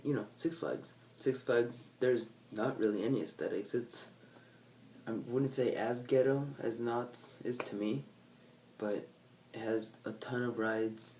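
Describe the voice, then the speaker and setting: Nasal teen dude rambling to his camera, lowish voice, sits back from the mic with faint noise behind. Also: vocal fry, dark tone, distant mic. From California. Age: teens. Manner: rambling, self-correcting, laid-back. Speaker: vlogger. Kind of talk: casual unplanned chat to camera. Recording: faint background ambience, set back from the mic.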